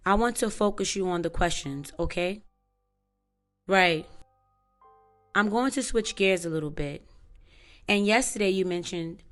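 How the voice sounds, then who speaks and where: A woman questioning a witness in court as a prosecutor, in a formal and methodical way. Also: lowish voice.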